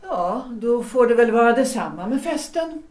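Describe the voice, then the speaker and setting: A woman acting a melancholy, evasive wife in a period audio drama, speaking in a measured, understated way. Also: a little high, lively intonation, a little mellow, lightly acted. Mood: evasive, reluctant. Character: melancholy, evasive, reserved wife, former opera singer, 1906. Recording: echoing room.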